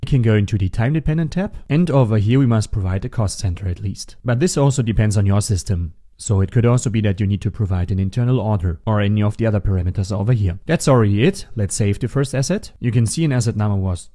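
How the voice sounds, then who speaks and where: Mellow low-voiced man teaching software. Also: fast talker, few pauses. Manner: methodical, instructional. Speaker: software tutorial instructor. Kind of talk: step-by-step screencast tutorial narration. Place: voice-over for a screen recording.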